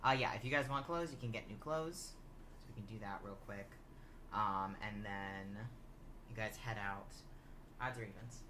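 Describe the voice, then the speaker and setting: A game master improvising narration for a tabletop game in a casual, halting way. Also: mid-range voice. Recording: faint noise behind.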